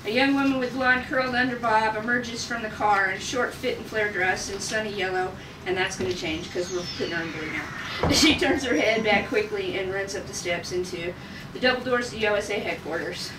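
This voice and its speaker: A woman's voice: mid-range voice, brisk. A woman reading script directions at a table read, with casual, giggly asides. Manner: giggly, informal. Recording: noisy echoey room, distant mic.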